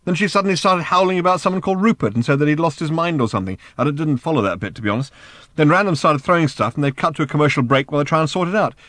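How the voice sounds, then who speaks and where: A man's voice: fairly high pitch, rapid-fire, a bit bright, no echo, clean studio sound, voice pushed up, lightly acted. A man voicing a bewildered, fretful male space traveler in an audiobook, flustered and hesitant. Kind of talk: audiobook character acting.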